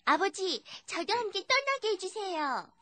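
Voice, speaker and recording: 아주 높고 앳된 꼬마 공주. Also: female voice, very high voice, very animated, unhurried, sharp tone, heavily acted. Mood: pouting, pleading. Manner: girlish, plaintive. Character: spirited, pouting little princess. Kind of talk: children's puppet show voice acting.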